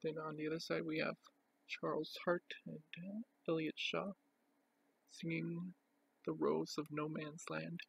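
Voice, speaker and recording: Guy doing a collector show and tell, super creaky voice, pretty monotone, halting and plain. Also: fairly high pitch, rough recording. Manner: plain, halting, itemising delivery. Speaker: record collector presenting a collection. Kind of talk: unscripted show-and-tell narration.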